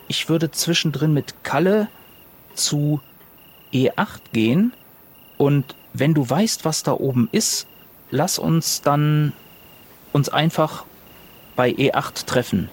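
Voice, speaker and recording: A man's voice: fairly high pitch, slow pace, long pauses, slightly rough. A man improvising casual in-character talk as a role-play player, hesitant and colloquial.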